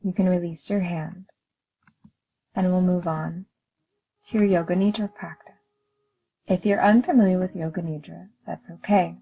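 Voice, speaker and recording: A woman leading a guided meditation in a calm, soothing, measured manner with gentle pauses. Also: fairly low pitch, creaky, husky, lo-fi sound.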